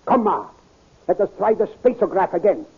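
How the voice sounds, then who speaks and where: An actor playing a resolute military commander in a 1930s radio space serial, with a commanding, declamatory delivery. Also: male voice, sits high, sing-song, moderate pace, slight background noise. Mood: grave, decisive. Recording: muffled tinny old radio recording.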